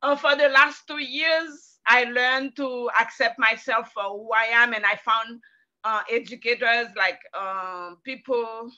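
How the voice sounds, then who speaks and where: Bright, forceful Haitian French-accented woman, dull audio. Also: a little high, fairly even intonation, unhurried. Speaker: educator, workshop participant. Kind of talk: personal testimony.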